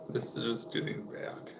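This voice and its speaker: Rambling young man on a home livestream. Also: background noise. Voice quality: low voice. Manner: rambling, relaxed, lots of "like" fillers. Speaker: fishing livestreamer. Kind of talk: casual livestream chat while crafting lures. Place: indoors at home.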